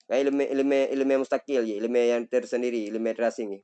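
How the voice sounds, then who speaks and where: A middle-aged man with an Acehnese accent, a religious teacher who reads Arabic phrases slowly and then explains them in a study lesson. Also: mid-range voice, fairly even intonation, dark tone, clear, resonant tone.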